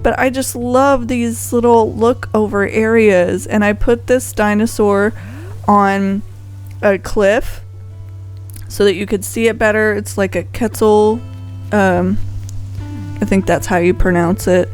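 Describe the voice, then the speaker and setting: A woman's voice: mid-range voice, steady tone, takes it slowly, a little mellow, soft background music. A woman giving casual, unscripted gameplay commentary as a video host, rambling conversationally and thinking aloud. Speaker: video host showing a game island.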